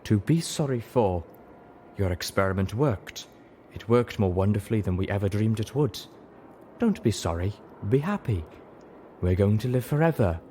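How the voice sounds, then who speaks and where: A man doing a measured zebra translator. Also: on the low side, animated, mellow, slight background noise, close to an everyday voice. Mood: solemn. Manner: measured, translating speech line by line. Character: zebra companion reading lips aloud.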